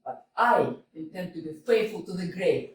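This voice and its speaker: An actress playing a proud, grieving young widow in a staged reading, full of theatrical declarations. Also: female voice, lowish voice, lively intonation, normal speed, far from the mic, a little hiss or hum, natural voice. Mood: mournful. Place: small community theater stage. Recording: echoey stage picked up from afar.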